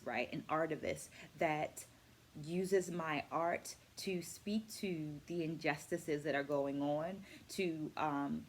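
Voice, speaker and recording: Woman, middle-aged, slightly low voice, reflective vlog talk, articulate, emphatic stresses, noisy background. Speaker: vlogger and dance educator. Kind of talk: reflective book-review vlog.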